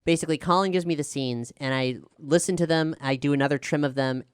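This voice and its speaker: Smooth clear voice, medium pitch, casual panel talk, explanatory, conversational showrunner. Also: quick pace, no room sound, clean studio sound. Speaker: showrunner and writer of an audio drama.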